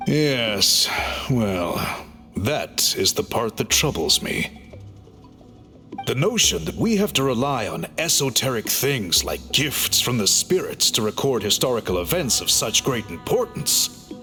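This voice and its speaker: Calm formal chief guy, explains things politely, bright lively voice, faint music. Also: medium pitch, unhurried. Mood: thoughtful. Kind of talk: game cutscene voice acting.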